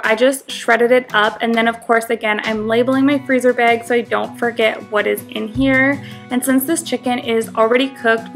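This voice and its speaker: A young woman giving a friendly, instructive voice-over for a home cooking tutorial. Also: on the high side. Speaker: cooking vlogger.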